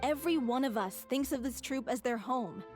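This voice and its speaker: A high-voiced young leading lady acted by a woman speaks with firm determination. She is earnest and devoted, quick to indignation, as in a video game. Music runs clearly behind her. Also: close to an everyday voice. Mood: earnest, confident.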